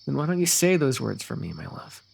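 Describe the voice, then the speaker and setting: A man playing a lovestruck, eloquent fantasy royal in a romantic ASMR roleplay, talking intimately and poetically with gentle teasing. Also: mid-range voice, sing-song, a little fast, creaky, a little hiss or hum. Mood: tender, earnest. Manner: intimate, poetic, talkative, softly amused.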